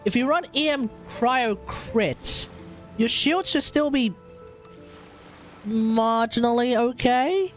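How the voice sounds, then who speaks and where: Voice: medium pitch, lively intonation, slow pace. A streamer with an Australian accent chatting casually and thinking aloud while playing a video game live. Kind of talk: casual livestream gameplay chat. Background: game music and combat sounds.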